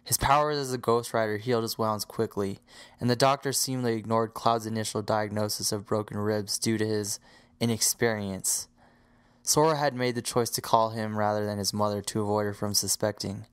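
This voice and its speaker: A man's voice: fairly low pitch, level tone, normal speed, smooth. A man reading scripted story narration as the narrator of an audio drama.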